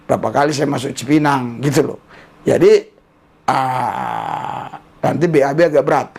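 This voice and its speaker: A man's voice: medium pitch, normal speed, gravelly, a little mellow, husky. A man gives casual commentary with a preaching bent, mocking and repeating himself for emphasis. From Indonesia.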